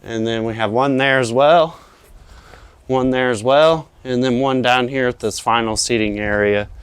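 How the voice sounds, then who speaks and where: A man's voice: mid-range voice, fairly even intonation, a little slow, smooth, no room sound. American male installer, informal explanatory vlog tour, clean resonant mid-range voice, recorded outdoors in a backyard. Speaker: audio-video installer presenting a finished project. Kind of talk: casual walkthrough vlog.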